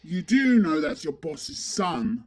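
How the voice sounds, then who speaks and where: A woman playing a terse, brooding masked vigilante who questions curtly and deliberately in a fan audio drama. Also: deep voice, sing-song, a crowd in the background, heavily acted. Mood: curt, determined.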